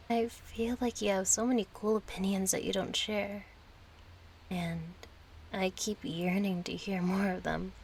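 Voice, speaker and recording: Slow-paced, casual young woman friend, acted. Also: mid-range voice, darkish tone, a little hiss or hum. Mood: shy, sincere. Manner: casual, hesitant, playful. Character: kind, chatty young female friend, gently yearning for closeness. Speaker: audio roleplay voice actor. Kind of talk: intimate audio roleplay acting, one side of a conversation.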